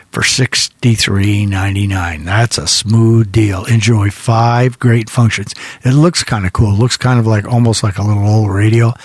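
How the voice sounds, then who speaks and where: A man's voice: on the low side, husky, no room sound. A man hosting a casual radio show, chatting in a folksy, rambling way and often repeating himself.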